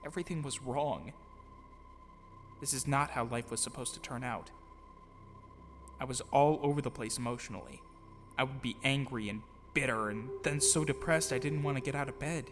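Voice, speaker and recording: A man's voice: medium pitch, normal speed, pauses often. A man giving an earnest, reflective scripted narration of his own life, with short acted dialogue. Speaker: narrator, audio drama producer and actor. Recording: long reverb, clear music behind. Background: music bed.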